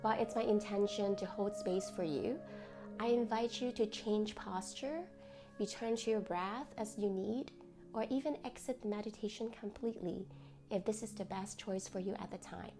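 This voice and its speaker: Music plays clearly behind a woman's mid-pitched voice. She narrates a guided meditation as its host, choosing phrases with care. Her manner is gentle and reassuring throughout. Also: moderate pace. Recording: music under the voice.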